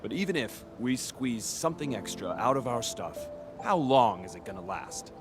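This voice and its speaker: A man's voice: mid-range voice, sing-song, normal speed, natural voice. A man voice-acting a skeptical, grumbling, pragmatic survivor in a video game, with music behind him. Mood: doubtful.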